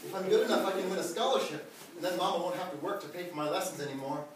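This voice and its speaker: A man's voice: high-pitched, rapid-fire, lightly acted. A man playing an earnest, naive young piano prodigy in a comic live radio play, melodramatic in manner. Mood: earnest, determined. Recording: echoey live room, distant mic, loud noise.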